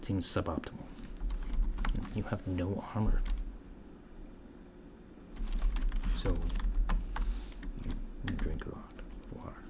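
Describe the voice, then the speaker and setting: A man playing a curious, puzzled alien researcher in a soft-spoken ASMR roleplay, halting and matter-of-fact, often slipping into whispers. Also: low-pitched, fairly even intonation. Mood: baffled. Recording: clear background noise with keyboard typing. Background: computer keyboard typing.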